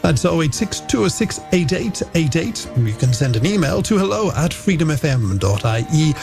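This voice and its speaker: A man hosts a late-night radio show over a music bed. His voice sits a little high and swings widely in pitch, with a gravelly creak at the edges. He delivers chatty, playful patter like a scripted link between songs. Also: very animated, normal speed, darkish tone. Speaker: radio presenter.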